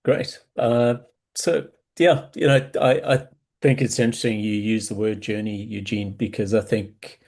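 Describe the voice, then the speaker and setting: Low flat South African man. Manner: thoughtful, halting, many repeated words. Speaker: business coach guest. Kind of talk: reflective interview answers.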